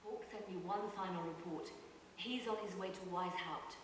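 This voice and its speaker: A woman playing a guarded, solemn female spymaster in a video game, speaking in a measured, grave way. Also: fairly low pitch, natural voice. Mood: grave, briefing. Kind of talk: video game cutscene dialogue. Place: game audio re-recorded in a room by camera. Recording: echoey hall, filmed off screen, distant, hissy.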